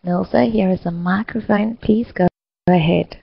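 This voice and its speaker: Female online English tutor, heavy vocal fry, slow clear encouraging delivery. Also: medium pitch, dry recording, highs cut off. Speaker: English teacher hosting an online class. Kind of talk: scripted online lesson facilitation.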